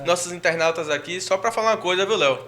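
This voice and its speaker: A man's voice: high voice, rapid-fire, crowd noise. A man co-hosting a podcast, trading laid-back jokes and banter. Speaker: podcast co-host.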